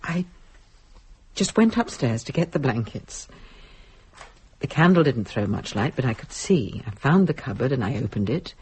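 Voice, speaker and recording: An actress playing a sharp-tongued married woman, frightened and defensively rationalising, with a husky voice in a dramatic audio-play delivery. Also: rumbling low, sing-song, normal speed, long pauses, full character voice. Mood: haunted, fearful. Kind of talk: stage-style audio drama acting.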